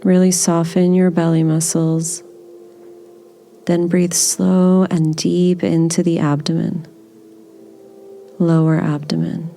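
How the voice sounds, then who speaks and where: A woman leading a guided body scan meditation in a gentle, soothing, unhurried manner. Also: on the low side, little pitch movement, a little hiss or hum.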